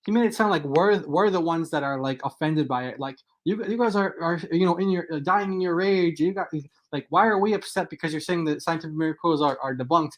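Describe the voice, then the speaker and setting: A man's voice: on the high side, brisk. A man talking casually and off the cuff as a guest on a religious discussion show, often repeating and restarting his phrases.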